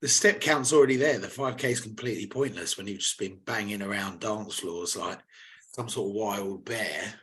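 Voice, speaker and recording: A man, slightly hoarse, co-hosting a casual podcast and telling stories with lively, laddish banter. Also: on the low side, moderate pace. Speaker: podcast co-host. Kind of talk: casual chat.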